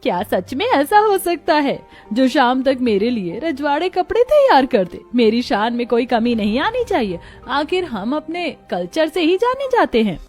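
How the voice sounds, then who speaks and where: A woman's voice: high-pitched, sing-song, moderate pace, hardly any pauses, a little mellow, soft background music. A woman voicing a proud middle-aged aristocratic lady in a cartoon, haughty and demanding. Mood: proud, doubting.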